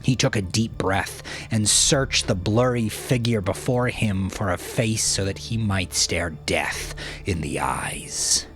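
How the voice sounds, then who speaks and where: A man reading scripted fiction in a dramatic, measured way, dropping into hushed, breathy phrase endings. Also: lowish voice, soft background music. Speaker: audiobook narrator.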